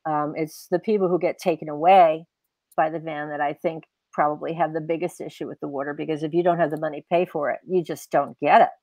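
Older woman with a low-pitched voice. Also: fairly even intonation, quick pace, darkish tone, dry recording, poor audio. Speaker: interviewed author. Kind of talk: casual interview conversation. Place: indoors at home on a video call. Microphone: wired earbuds on a video call.

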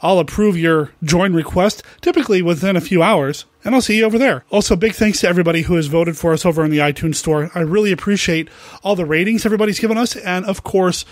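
A man's voice: sits high, fast, no room sound. A man hosting a podcast in a conversational, informal way. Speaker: podcast host.

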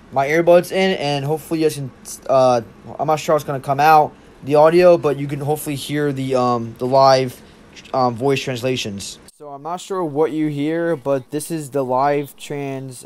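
Higher-pitched young man, rambling. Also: darkish tone. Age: young adult. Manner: rambling, halting, repeats himself. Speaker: tech tutorial presenter. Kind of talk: casual app tutorial.

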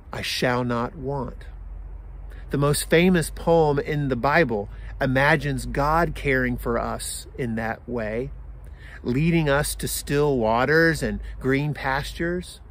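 A man in his forties with an American accent, a pastor giving a sermon in measured phrases with deliberate pauses. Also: medium pitch. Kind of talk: sermon for an online service. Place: outdoors in a garden.

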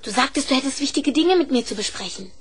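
An actress playing a curious, eager young schoolboy inventor in a children's audio play, inquisitive and boyishly earnest. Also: female voice, sits high, lively intonation, fast talker, background noise. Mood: eager, friendly. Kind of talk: 1970s German children's audio play acting.

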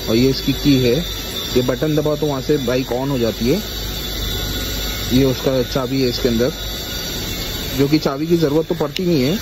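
A man's voice: medium pitch, moderate pace, pauses often. Chatty man vlogging outdoors on a phone. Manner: chatty, playful rhyming greeting. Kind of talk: casual vlog, showing a motorbike. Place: outdoors in a city parking lot. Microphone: phone camera, handheld or at arm's length. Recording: phone video with clear street noise.

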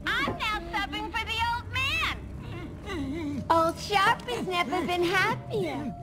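A woman's voice: extremely high pitch, expressive, slow pace, exaggerated voice. A woman playing a bubbly, mocking young villain henchwoman in a video game, playful and slangy as she taunts. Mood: gleeful taunting.

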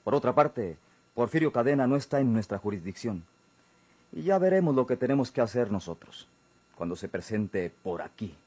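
Bossy mexican police chief, old radio soap, raspy, very dry close sound, animated but condescending. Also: male voice, mid-range voice, moderate pace, dry recording. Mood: firm, dismissive. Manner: formal, authoritative, curt. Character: stern, dismissive male police chief, bureaucratic and condescending. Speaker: actor. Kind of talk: Mexican golden-age radio drama acting.

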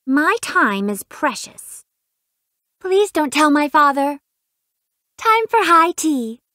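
A woman's voice: high voice, sing-song, takes it slowly, pushed and bright, no echo, clean studio sound, exaggerated voice. A woman voicing a haughty, refined rich young lady in a fighting game, with a prim, imperious, theatrical delivery. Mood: haughty, composed. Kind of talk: video game fight voice clips.

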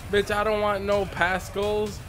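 High, excitable young man gaming. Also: measured pace, music in the background. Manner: loud excitable trash talk, swearing. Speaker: gamer streamer. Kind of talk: live gameplay reactions. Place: small room at home, indoors.

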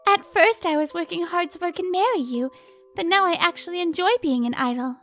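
A woman voicing a sweet, devoted, pouty teenage girl idol in a manga dub, with a cutesy expressive delivery. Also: very high voice, brisk, faint music behind. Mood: eager.

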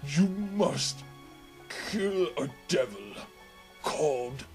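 A man playing a dying old father, strained, halting and melodramatic, in a parody game dub. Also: fairly high pitch, lively intonation. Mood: weakly pleading. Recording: game music under the voice.

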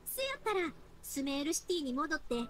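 A woman voicing a bright, earnest young fireworks maker in an anime game, heartfelt and folksy, with a Kansai accent. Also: high-pitched, big pitch swings, a little fast, background noise. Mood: upbeat. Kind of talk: Japanese anime game voice acting.

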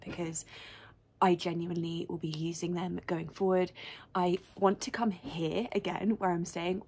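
A woman's voice: on the low side, vocal fry, a little mellow, breathy edge. A young woman chatting to her phone camera in a vlog, gushing and enthusiastic, full of "like" and "um". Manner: gushing, enthusiastic, many likes and ums. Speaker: lifestyle vlogger. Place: indoors in a ski chalet.

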